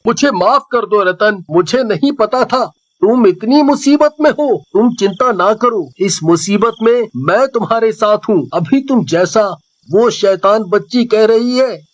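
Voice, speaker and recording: A man's voice: high voice, animated, unhurried, no room sound. A man playing an agitated, righteous village man with emphatic delivery in a melodramatic story, recorded with dull lo-fi sound. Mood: reassuring. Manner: emphatic, dramatic. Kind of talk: melodramatic animated story dialogue.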